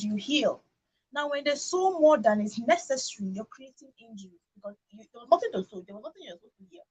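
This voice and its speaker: A Nigerian woman in an animated radio discussion, talking emphatically with opinions and rhetorical questions. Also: high voice, husky, lo-fi sound. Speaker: radio talk show host.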